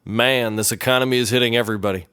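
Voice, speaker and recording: A man playing an exasperated detective agency colleague in a comedy audio drama, brisk and incredulous. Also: medium pitch, steady tone, a bit bright, smooth, dry recording, studio quality, natural voice.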